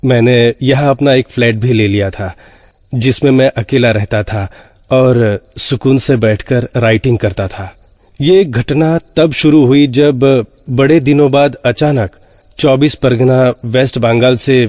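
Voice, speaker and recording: A man playing a TV writer who tells his own horror story in a conversational, expressive way. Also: mid-range voice, unhurried, a little hiss or hum, close to an everyday voice. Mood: reflective. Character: puzzled male Mumbai TV writer recounting eerie experience. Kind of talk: first-person horror drama narration.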